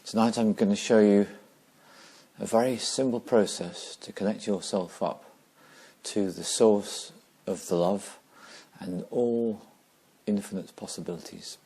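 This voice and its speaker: A man leading a guided meditation slowly and gently, pausing between short phrases. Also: lowish voice, husky.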